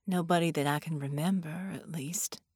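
A woman's voice: mid-range voice, animated, slow, a little mellow, breathy edge, dry recording, studio quality, pitched above the performer's own voice, exaggerated voice. A woman voicing a plainspoken, stubborn wounded female veteran in audiobook dialogue, frail and wavering. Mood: lonely, confused. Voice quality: wavering, fragile. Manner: folksy, plainspoken.

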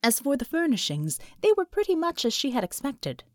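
A woman reading scripted fiction as an audiobook narrator, with expressive, dramatic storytelling. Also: high-pitched, a little fast, dry recording, clean studio sound.

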